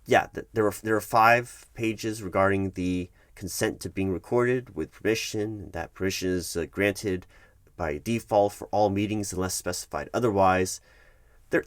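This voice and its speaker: A man's voice: on the low side, no room sound, natural voice. A man playing a politely evasive, officious HR manager in an ASMR office roleplay, stammering through formal, bureaucratic wording. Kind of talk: ASMR roleplay, one-sided office meeting.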